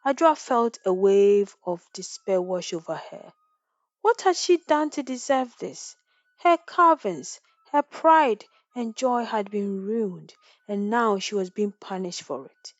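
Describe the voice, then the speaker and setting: A woman's voice: medium pitch, no room sound. A woman storyteller narrating a folktale in a scripted, dramatic style.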